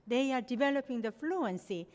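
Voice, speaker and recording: A woman's voice: sits high, expressive, normal speed, a little mellow. A middle-aged woman from Korea, a native Korean speaker, giving an academic presentation with non-native phrasing and frequent "you know" fillers. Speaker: lecturer. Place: lecture hall. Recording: long hall reverb.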